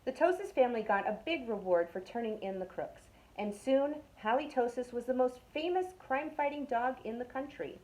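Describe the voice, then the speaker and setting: A young adult woman from a youth club, reading a picture book aloud to children with expressive delivery that drops to a whisper for suspense. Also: a little high, moderate pace, hardly any pauses, low-quality recording.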